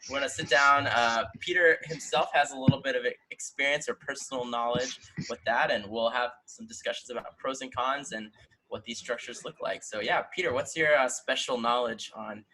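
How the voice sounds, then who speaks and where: A man's voice: medium pitch, moderate pace, bright, forceful tone, a little hiss or hum, low-quality recording. A man in his twenties with an American accent, hosting a casual discussion podcast over a video call. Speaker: host. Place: indoor room at home, video call.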